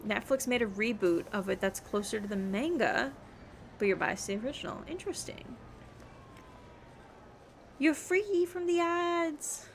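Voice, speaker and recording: A woman's voice: fairly high pitch, expressive, unhurried, pauses often, a little hiss or hum. A woman livestreaming a game, chatting and rambling casually and reading things aloud as she plays. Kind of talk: casual stream chat and game commentary.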